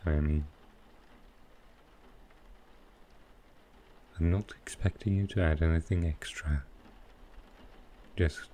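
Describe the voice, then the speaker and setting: A man playing a smooth, flirtatious supervillain in an intimate ASMR roleplay, teasing and unhurried. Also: rumbling low, a little hiss or hum. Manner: teasing, unhurried, softly suggestive. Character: smooth, flirtatious male supervillain courting his tailor.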